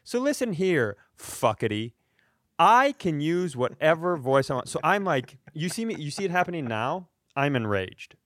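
A higher-voiced American man rants on a comedy podcast with very lively swings in pitch. He is indignant and emphatic, swearing freely, and the sound is dry and close. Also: moderate pace, no echo. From rural Upper Midwest United States. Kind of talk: casual ranting podcast chat.

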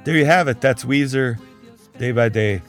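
A man's voice: medium pitch, moderate pace, faint music behind. A man hosting a podcast, giving frank, conversational opinions in a casual solo talk while losing his voice. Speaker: podcast host.

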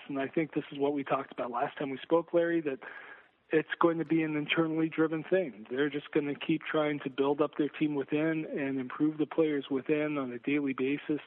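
A man giving conversational sports analysis as a guest, searching for words and repeating phrases for emphasis. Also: on the high side. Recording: phone-like narrow-band line.

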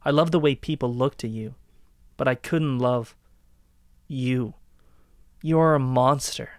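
A man's voice: medium pitch, slow pace, dry recording, lightly acted. Brooding, vengeful young man played by a man, close and dry. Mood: contemptuous. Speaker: voice actor in a dramatic reading. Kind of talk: dramatic reading of a dark podcast story.

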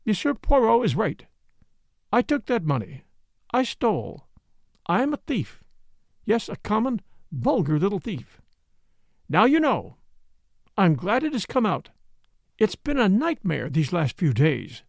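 A man's voice: sits high, wildly swooping pitch, measured pace, gravelly, long pauses, no room sound, clean studio sound, pitched above the performer's own voice, heavily acted. A man voicing a proud, troubled young upper-class woman in an audiobook mystery, speaking intensely in short clipped phrases. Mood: defiant, ashamed.

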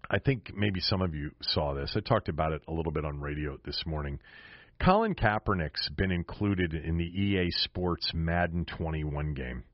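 Low-voiced American sports host, dull dry recording. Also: male voice, lively intonation, normal speed, no room sound. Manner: opinionated, emphatic. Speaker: sports podcast and radio host. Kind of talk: casual solo sports commentary.

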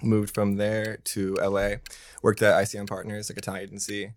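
A young man from the US, a dating game contestant, talking in a relaxed, hesitant way with lots of ums. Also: on the low side, brisk, slight background noise. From San Diego, USA. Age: young adult.

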